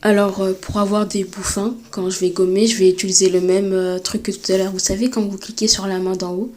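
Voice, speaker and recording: A woman with a cold giving a step-by-step drawing tutorial, talking hesitantly with many filler pauses. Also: medium pitch, level tone. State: has a cold. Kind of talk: screen-recorded step-by-step tutorial.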